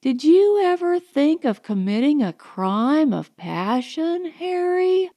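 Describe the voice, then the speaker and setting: Woman playing a high-pitched, gushing, haughty young socialite. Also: big pitch swings, slow, warm tone, dry recording, lo-fi sound, full character voice. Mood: bored, provocative. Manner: gushing, affected, condescending. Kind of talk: audiobook character dialogue.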